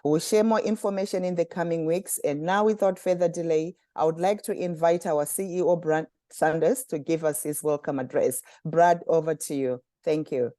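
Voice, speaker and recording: A woman from Zimbabwe hosting a community webinar, reading formal prepared remarks in a measured way. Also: low voice, fairly even intonation, mellow, no echo, clean studio sound. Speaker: communications director and webinar host. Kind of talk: scripted webinar introduction.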